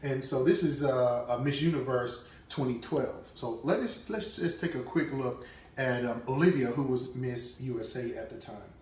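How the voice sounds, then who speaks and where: A man's voice: medium pitch, normal speed, darkish tone, recorded from a distance, slight background noise. Chatty man in an echoey room. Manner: chatty, thinking aloud with many restarts. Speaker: reaction video host. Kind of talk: casual reaction commentary.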